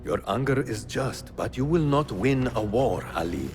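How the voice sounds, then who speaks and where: A sober rebel counsellor in a game, played by a man. He speaks in a measured, medium-pitched voice with music clearly behind him. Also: expressive, natural voice. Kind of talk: video game cutscene acting.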